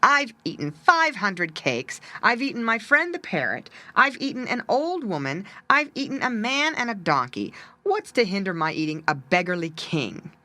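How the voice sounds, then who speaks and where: A woman's voice: medium pitch, very animated, moderate pace, few pauses, sharp tone, no echo. A woman voicing a mean, greedy, fat male cat in a storybook, boastful and menacing, drawing out his words. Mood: gloating, menacing.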